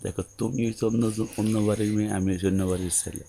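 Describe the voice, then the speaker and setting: A man's voice: fairly low pitch, steady tone, racing, vocal fry, dark tone, a little hiss or hum. A man acting an anxious young newlywed husband in an audio drama phone call, hesitant and pleading, with a colloquial Bangladeshi accent. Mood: coaxing, reassuring. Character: anxious newlywed groom, obedient son, soothing his bride.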